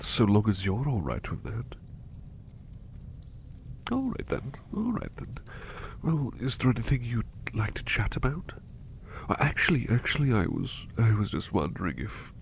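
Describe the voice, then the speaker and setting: Man playing a gentle, low-voiced devoted butler. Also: slight background noise. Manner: hushed, breathy, hesitant, polite, tender. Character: gentle, devoted male butler, doting and apologetic. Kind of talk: ASMR roleplay, soft personal attention.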